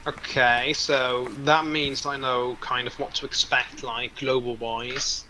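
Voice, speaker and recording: A man's voice: mid-range voice, flat delivery, slow, pushed and bright, a little hiss or hum, poor audio. A man chatting casually on a livestream, blunt and questioning, with a habit of swearing.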